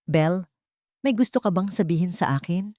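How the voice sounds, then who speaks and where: A woman voicing a soft-spoken, worried adult man who questions his partner. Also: lowish voice, normal speed, no room sound, studio quality, natural voice. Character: adult man, gentle but doubtful partner.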